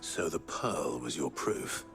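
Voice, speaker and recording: Very deep-voiced brooding British hero. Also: male voice, animated, slow, music in the background, exaggerated voice. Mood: stern. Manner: terse, serious, plain-spoken. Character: brooding, blunt male fantasy hero, a lord's nephew. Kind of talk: video game voice acting.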